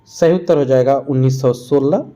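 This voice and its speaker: A man's voice: medium pitch, normal speed, mellow, muffled recording. A man narrating a quiz-style lesson as a teacher, explaining and repeating answers for emphasis. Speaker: exam-prep history teacher.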